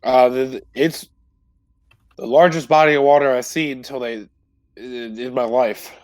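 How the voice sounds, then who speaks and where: Male tabletop player, mid-pitched, casual and halting, repeats words, dry close sound. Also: fairly even intonation, dry recording. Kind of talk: casual in-character roleplay chat.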